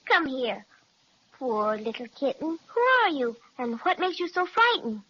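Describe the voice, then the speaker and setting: A woman voicing a curious, kind young girl in a 1930s radio serial, gentle and soothing. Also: sits high, big pitch swings, unhurried, very noisy. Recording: dull lo-fi 1930s radio transcription.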